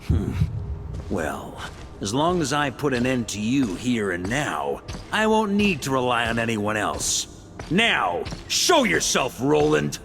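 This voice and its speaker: An actor playing a bold, battle-hardened knight in a video game, confident and dramatically defiant. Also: male voice, mid-range voice, very animated, slow, creaky, full character voice. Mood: challenging. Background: music.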